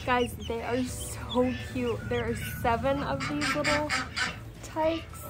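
A woman in her twenties chatting informally to the camera in a casual farm vlog, doting and quick to laugh. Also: high voice, steady tone. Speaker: farm vlogger. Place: wooden barn and farmyard. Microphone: phone at arm's length.

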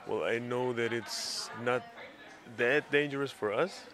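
A man's voice: mid-range voice, unhurried, fairly bright tone. A young man from Germany, a student, chatting easily into a handheld mic in an indoor lounge. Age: 20s. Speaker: student interviewee. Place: indoor university lounge booth. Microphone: handheld interviewer mic.

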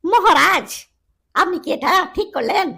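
A worried cartoon court poet, put on by a woman, formal and pleading. The voice is shrill and very high, with faint noise behind it. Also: expressive, unhurried, creaky, a bit bright, exaggerated voice. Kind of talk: comic cartoon acting.

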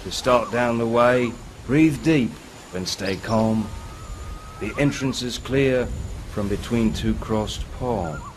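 An actor playing a roguish, wry pirate captain in a video game, with a sardonic, theatrical delivery. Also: male voice, medium pitch, takes it slowly, noisy background, close to an everyday voice. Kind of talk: scripted video game cutscene acting.

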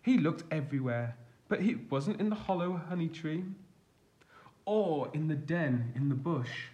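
A man with a slightly high voice reads a picture book aloud for children. He is expressive and deliberate, like a teacher, and leans on the action words. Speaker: teacher and storyteller. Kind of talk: reading a children's storybook aloud.

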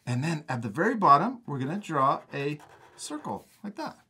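A man's voice: fairly high pitch, very animated, normal speed, bright, forceful tone, husky. A man hosting a step-by-step drawing lesson for children in a casual, encouraging manner. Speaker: art teacher and host.

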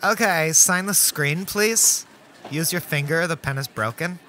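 An actor playing a relentlessly chirpy, pedantic cashier in a comedy radio play, rattling through scripted prompts. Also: male voice, a little high, a little slow, bright, forceful tone, slight background noise. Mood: officious, chipper.

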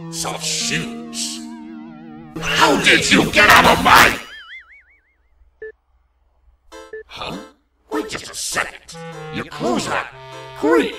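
A man voicing a gleeful, taunting ghost king from a cartoonish video game, gravelly and theatrical. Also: on the high side, wildly swooping pitch, takes it slowly, pauses often, exaggerated voice. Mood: startled outrage. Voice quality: creaky, gravelly. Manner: mocking, theatrical. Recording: echoey, music underneath.

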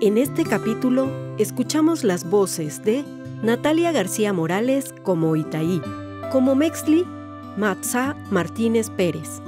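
A woman's voice: a little high, sing-song, measured pace. A woman reading scripted credits as an announcer for a radio drama. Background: plucked guitar music.

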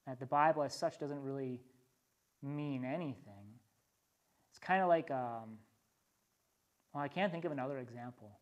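An American man teaching a small church study group, moving between reading aloud and informal explanation in a conversational way. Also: mid-range voice.

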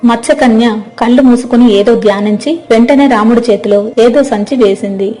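A woman reads scripted story narration in a high, mellow voice. Faint music plays behind her telling. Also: fairly quick.

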